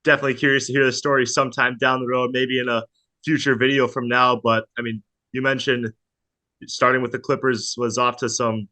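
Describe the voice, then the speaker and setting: Male sports-broadcaster interviewer, bright forward tone, mid pitch, polished and prepared delivery. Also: level tone, a little fast.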